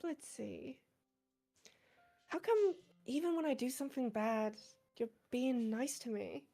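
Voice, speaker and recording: A woman playing a shy, polite, bookish high school girl with a soft, hesitant delivery in a visual novel game. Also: lively intonation, unhurried, vocal fry, soft background music, exaggerated voice. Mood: distressed.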